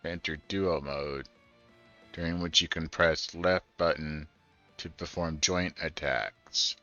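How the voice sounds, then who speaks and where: A man's voice: rumbling low, steady tone, slow pace, pushed and bright. A man giving casual first-time video game commentary and reading prompts aloud, over game music on a dull microphone. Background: faint game music.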